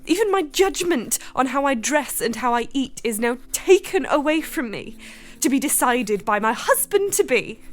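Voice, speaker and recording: A woman's voice: high-pitched, very animated, moderate pace. An actress playing a sheltered, determined young lady in a radio drama, with formal, wistful period diction. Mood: wistful, reflective. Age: young adult. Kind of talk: radio drama acting with diary narration.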